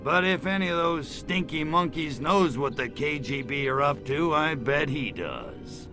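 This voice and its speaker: A man with an American accent voicing a cocky, wisecracking alien invader in a video game, gravelly, in sardonic hipster slang. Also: on the high side, expressive, measured pace, music in the background. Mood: cocky, casual. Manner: laid-back hipster slang, sardonic drawl. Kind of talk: video game cutscene acting.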